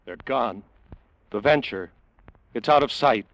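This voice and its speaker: An actor playing an awestruck American radio reporter, speaking dramatically in the manner of 1940s radio drama. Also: male voice, mid-range voice, expressive, a little slow, close to an everyday voice. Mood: hushed awe. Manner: dramatic, hushed, repeating phrases for effect. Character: solemn, awestruck male radio reporter covering a rocket launch. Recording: dull, noisy vintage radio recording.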